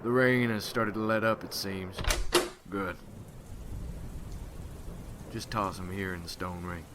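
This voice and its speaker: A man acts out a vengeful vampire hunter in an ASMR roleplay, with a very low voice and a slow, measured delivery. He is grim and solemn, brooding over every phrase. Loud ambient noise runs behind him. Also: fairly even intonation. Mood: businesslike, grim. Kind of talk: ASMR roleplay, dramatic monologue.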